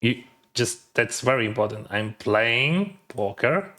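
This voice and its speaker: A man's voice: medium pitch, lively intonation, takes it slowly, sharp tone. A man streaming poker, chatting casually and thinking his plays through aloud in lightly accented English. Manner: mildly accented non-native English. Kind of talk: casual live-stream commentary.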